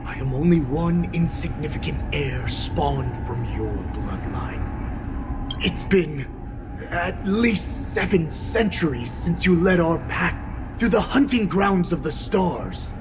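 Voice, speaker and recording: A sly, fawning beast-man counselor with a fairly high voice. He speaks humbly to his master while hiding a scheming streak. Music runs behind him. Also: expressive, takes it slowly. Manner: deferential and servile toward his lord. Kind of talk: video game voice acting.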